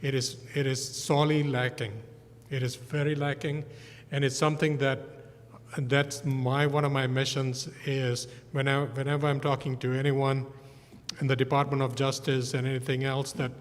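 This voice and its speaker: A man's voice: medium pitch, little pitch movement, moderate pace. Panelist, male, from Malaysia and Singapore, earnest delivery, long church reverb. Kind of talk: panel discussion. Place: church sanctuary.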